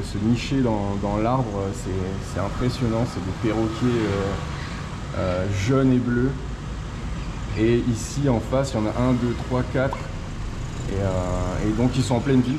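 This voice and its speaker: A thirty-year-old man from northern France giving a casual, improvised travel vlog with hesitant, drawn-out pauses. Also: mid-range voice, noisy background. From Nord-Pas-de-Calais, northern France. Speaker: travel vlogger.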